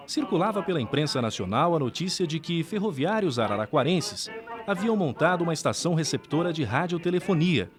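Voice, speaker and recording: Brazilian man, documentary narrator, animated intonation, scripted delivery, clear music bed underneath. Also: on the high side.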